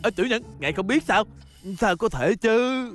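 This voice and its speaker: Very high-pitched goofy robot henchman. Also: expressive, moderate pace, fairly bright tone, faint music behind. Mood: cheeky, incredulous. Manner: stammering, obsequious. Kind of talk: cartoon dub acting.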